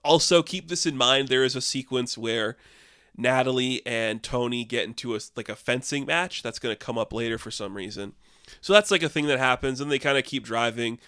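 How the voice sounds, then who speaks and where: A man's voice: medium pitch, pushed and bright, clear, resonant tone, no echo, clean studio sound. A young man giving a casual, rambling film review, sarcastic and exasperated, with frequent filler words.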